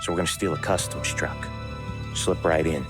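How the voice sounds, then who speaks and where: An actor playing a cool, pragmatic American mobster in a game cutscene, measured and deadpan. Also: male voice, extremely low pitch. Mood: calm, scheming. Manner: measured, deadpan, matter-of-fact. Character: cool, pragmatic, wry veteran mobster man. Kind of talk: video game cutscene acting. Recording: loud music behind the voice.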